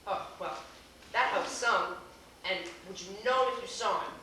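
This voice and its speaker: Flustered, indignant young suitor woman performer, bright voice, halting formal delivery, amateur stage comedy, very echoey distant pickup. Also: mid-range voice, a little hiss or hum, natural voice. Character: flustered, indignant young man awaiting a blind date. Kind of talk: amateur one-act comedy stage acting. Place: theater stage, recorded from far off.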